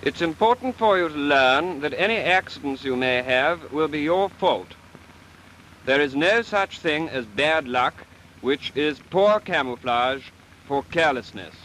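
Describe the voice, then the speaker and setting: An actor playing a stern middle-aged naval flying commander, addressing trainee pilots in a crisp, authoritative manner in a 1940s British film. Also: male voice, on the high side, lively intonation, measured pace, fairly bright tone. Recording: faintly noisy 1940s film soundtrack.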